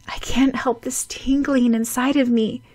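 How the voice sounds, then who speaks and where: A woman's voice: fairly high pitch, fairly even intonation, unhurried, darkish tone, no room sound, pitched above the performer's own voice. A woman voicing a lovestruck, easily flustered young girl in an audiobook, emotional and gushing. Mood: dreamy, anxious. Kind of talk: audiobook character voice.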